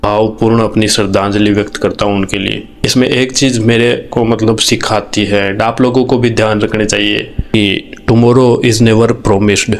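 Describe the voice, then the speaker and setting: A man's voice: fairly low pitch, little pitch movement, normal speed, few pauses. A man from India with an Indian accent, hosting an informal, reflective video commentary in an earnest, conversational way, mixing in English phrases. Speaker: video host.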